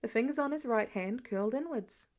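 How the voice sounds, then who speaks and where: A woman reading fan fiction aloud as an expressive scripted narration. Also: fairly high pitch, brisk.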